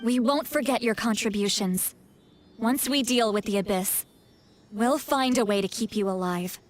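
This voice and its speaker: Troubled, higher-voiced seer played by a woman. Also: normal speed, faint music behind, close to an everyday voice. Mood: determined. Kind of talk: scripted video game voice acting.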